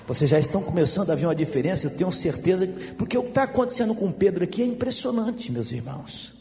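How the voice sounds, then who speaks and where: Male pastor, high voice, emphatic preaching with quiet jokey asides, long hall echo, faint music behind. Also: animated, fast, gravelly. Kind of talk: sermon.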